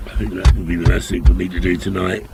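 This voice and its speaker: An older man playing a roleplay game and chatting casually; he is coming down with a cold and talks in a tired, unhurried way with mumbled asides. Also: sits low, level tone, gravelly, noisy background. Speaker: roleplay streamer.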